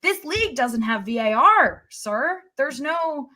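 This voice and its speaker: A woman's voice: high voice, sing-song, slow, pushed and bright. A woman co-hosting a podcast in casual, opinionated sports fan chat.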